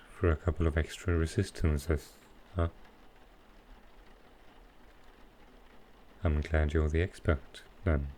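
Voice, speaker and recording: A man playing a smooth, flirtatious supervillain in an intimate ASMR roleplay, speaking softly and unhurriedly in short, halting phrases. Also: rumbling low, a little hiss or hum. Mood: coaxing, concerned. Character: smooth, flirtatious male supervillain courting his tailor. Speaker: voice actor in audio roleplay.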